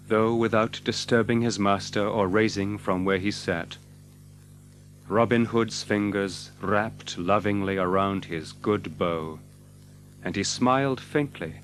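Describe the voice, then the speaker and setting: A man narrating an adventure story as a dramatic scripted audiobook, with expressive, measured literary delivery. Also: fairly low pitch, slight background noise.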